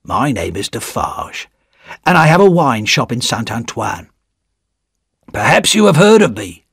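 A man voicing a guarded male wine-shop keeper who speaks quietly and confidingly in an audiobook reading. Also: mid-range voice, big pitch swings, measured pace, vocal fry, breathy edge, dry recording, clean studio sound, heavily acted.

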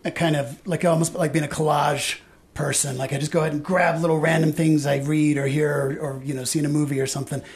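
A man's voice: a little high, steady tone, quick pace. A man being interviewed as a band's songwriter, chatting casually and hesitantly with quirky dry humour. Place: live in a radio studio.

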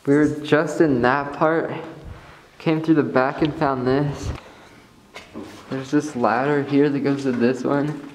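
A man's voice: mid-range voice, fairly even intonation, slow pace, pauses often, a little hiss or hum, poor audio. A young man casually narrating as he walks through an abandoned base, describing what he finds. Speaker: urban explorer. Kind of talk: handheld exploring vlog narration. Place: abandoned missile base, indoors and out.

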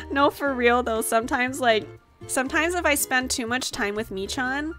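A woman's voice: high-pitched, fairly bright tone. A young adult woman chatting casually and playfully on a gaming livestream, rambling and giggly, into a desk microphone at home. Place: small room at home, indoors. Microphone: desk microphone with headset. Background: music.

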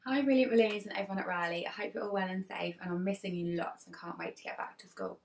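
A woman in her thirties reading a children's story aloud like an infant teacher, expressively, with dramatic pauses between phrases. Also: medium pitch, fairly even intonation, gravelly. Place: living room sofa, indoors. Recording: roomy, distant mic, faint music behind.

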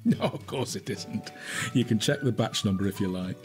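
An older British man acting a shrewd, blunt, gruffly affectionate detective inspector and husband in a naturalistic radio drama. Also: lowish voice, normal speed, faint music behind, close to an everyday voice. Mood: gruffly fond. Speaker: actor in an audio drama.

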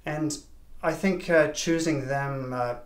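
A man, the homeowner, thoughtfully explaining in pauses why he chose his builder. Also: a little high, reverberant. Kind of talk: casual interview.

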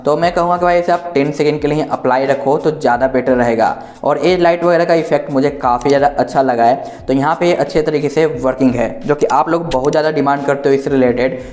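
A man's voice: mid-range voice, a little fast, few pauses, a little mellow. An Indian-accented man hosting an informal tech walkthrough, explaining things directly to viewers. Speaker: tech reviewer, host. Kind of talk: informal smartphone software walkthrough. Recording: echoey, roomy sound.

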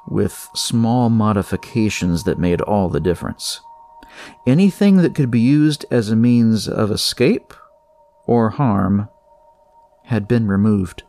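Guy reading horror fiction, creaky voice, measured and slow with dramatic pauses. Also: lowish voice, darkish tone. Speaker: narrator. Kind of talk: scripted horror fiction narration.

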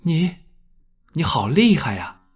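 A man's voice: fairly high pitch, very animated, slow, husky, exaggerated voice. A man voicing a sullen, stubborn young boy in an audiobook, in a huffy, terse manner. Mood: awed, hesitant. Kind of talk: audiobook character acting.

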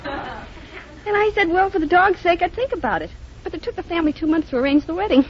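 High, animated woman on old radio. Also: a little fast. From Boston, United States. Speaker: quiz show contestant, housewife. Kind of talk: unscripted chat on a radio quiz. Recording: muffled old radio recording, noisy audience.

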